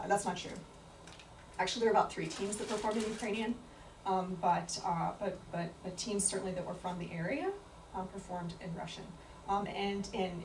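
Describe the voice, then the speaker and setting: A woman answers an audience question at a book talk, thinking aloud and restarting her sentences often. She sounds set back from the microphone in a reverberant room. A faint hiss sits under her voice. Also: medium pitch. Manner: thoughtful, many fillers and restarts. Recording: echoey room from far off with faint noise.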